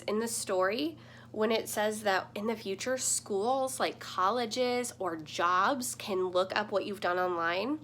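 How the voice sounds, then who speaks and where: A woman's voice: mid-range voice, bright, forceful tone, a little hiss or hum. A woman teacher explaining a story to children in a conversational, instructive way.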